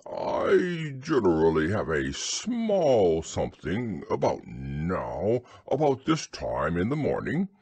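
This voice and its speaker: Male reader as humble storybook bear, plodding, earnest, simple-minded, unhurried, husky. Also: low voice, big pitch swings, darkish tone, dry recording, exaggerated voice. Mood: dreamy, wistful. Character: humble, slow-witted, honey-loving male storybook bear. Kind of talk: storybook character reading.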